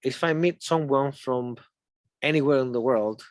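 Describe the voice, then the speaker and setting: A man's voice: mid-range voice, normal speed, no echo, clean studio sound. A man from Chile with a Chilean accent, a native Spanish speaker and English teacher, interviewing conversationally and explaining things step by step with examples.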